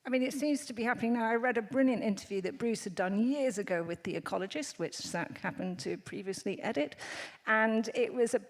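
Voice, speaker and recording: A woman's voice: on the high side, brisk. A woman moderating a live panel on stage, framing long, articulate questions for the guests. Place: lecture hall with audience. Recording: long hall reverb.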